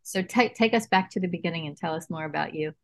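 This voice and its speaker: Woman, interview host, enthusiastic, short questions, somewhat low pitch. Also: fast.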